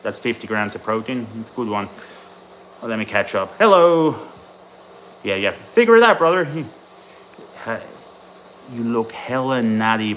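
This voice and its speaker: A man's voice: lowish voice, a bit bright, a little hiss or hum, poor audio. A man born in Tennessee and raised in Ireland, a bodybuilding coach chatting casually with his live-stream audience between sets at the gym. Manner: chatty, rambling, answers chat while training.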